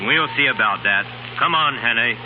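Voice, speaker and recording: An actor playing a brusque, bossy police officer barking orders in a 1930s radio drama. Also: male voice, medium pitch, normal speed, lightly acted. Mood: urgent, barking. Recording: muffled 1930s radio recording, loud music behind.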